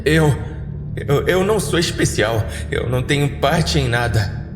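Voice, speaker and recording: A man's voice: a little high, lively intonation, unhurried, reverberant, big-room sound, music in the background, pitched above the performer's own voice. A man playing a confused, insecure human who feels like a failure, hesitant and questioning, in a horror audio story. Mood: humble, dejected.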